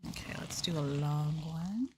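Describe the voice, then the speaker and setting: Woman, soft ASMR chat, lowish pitch, creaky, intimate, breathy rasp. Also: animated, a little slow, noisy background. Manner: soft, intimate, at times dropping to a whisper. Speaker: streamer, VTuber.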